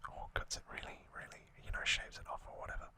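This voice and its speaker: An ASMR host speaking in an unhurried, informal whisper, chatting through commentary close to the microphone. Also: bright, forceful tone. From United Kingdom. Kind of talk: whispered ASMR, relaxed show-and-tell commentary.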